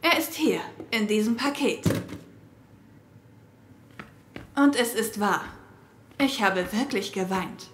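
A woman's voice: medium pitch, expressive, unhurried, natural voice. A woman playing a vengeful girlfriend in a horror audio drama, taunting and gloating with false sweetness. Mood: accusing. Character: vengeful young girlfriend, mockingly sweet and cruel. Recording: echoey room, faint background noise.

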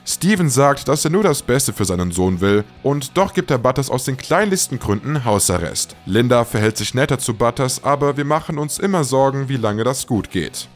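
A man's voice: medium pitch, lively intonation, normal speed, hardly any pauses, faint music behind. A man reading a scripted voice-over as the narrator of a countdown video.

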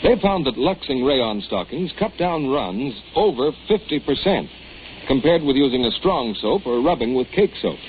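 A man's voice: medium pitch, big pitch swings, moderate pace. A man announcing in a scripted 1940s style for sponsored radio. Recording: tinny, lightly noisy 1940s radio recording.

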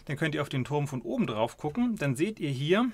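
A man in his thirties calmly explaining a model as he turns it in his hands, talking casually at a table indoors. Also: on the high side, fairly quick, heavy background noise. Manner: explains calmly while handling and turning a model. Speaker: toy model reviewer.

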